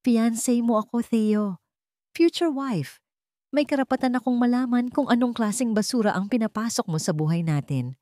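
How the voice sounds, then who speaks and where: A woman's voice: on the high side, normal speed, dark tone, no echo, clean studio sound, voice pushed up, lightly acted. A woman voicing a haughty young rich fiancée in an audio romance drama, sweet on the surface with menacing emphasis.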